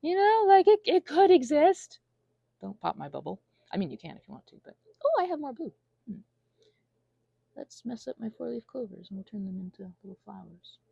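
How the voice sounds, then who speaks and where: A woman's voice: a little high, big pitch swings, brisk, gravelly, breathy edge, no echo, highs cut off. A woman giving a casual, rambling monologue, whimsical and self-deprecating as her thoughts wander. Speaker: audio creator rambling to listeners.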